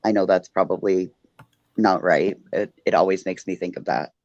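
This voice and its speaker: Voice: sits low, steady tone, normal speed, darkish tone, no room sound, dull audio. A person chatting casually as a panelist on a true-crime livestream. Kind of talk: casual group livestream chat.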